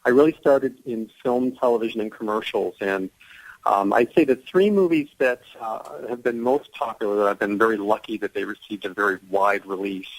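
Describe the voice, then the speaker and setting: A man's voice: fairly low pitch, moderate pace, no echo, poor audio. A man speaking as an actor guest in a conversational radio interview, explaining things earnestly.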